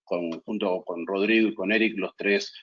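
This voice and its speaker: A middle-aged Argentine man speaks in a deep, husky voice, measured and halting, often repeating words as he introduces himself on a panel. His Argentine Spanish accent is plain throughout. The sound is dull and muffled, like video call audio. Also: fairly even intonation.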